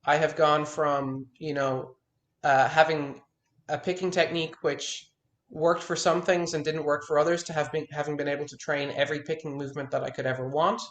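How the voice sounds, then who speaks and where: A man's voice: fairly high pitch, level tone, normal speed, fairly bright tone, echoey room. A man in his thirties, a guitar teacher, talking frankly in a one-on-one consultation and restarting his words as he stresses his points. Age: 30s.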